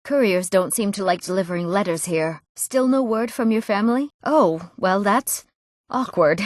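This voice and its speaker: Casting: woman to play spirited young Nord woman for a fantasy video game; earnest, proud, medium-pitched, recorded dry. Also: animated, moderate pace, no echo, close to an everyday voice. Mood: conversational. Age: young adult. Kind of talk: scripted fantasy game dialogue.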